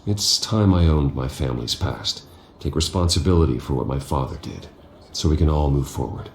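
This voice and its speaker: A brooding rich hero with an extremely low voice. He sounds restrained, sincere and quietly determined, in a roomy game-drama recording. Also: animated, moderate pace, vocal fry, a little hiss or hum, full character voice. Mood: resolute. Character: earnest, resolute wealthy man, brooding vigilante in private. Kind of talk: dramatic video game acting. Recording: roomy sound with faint music.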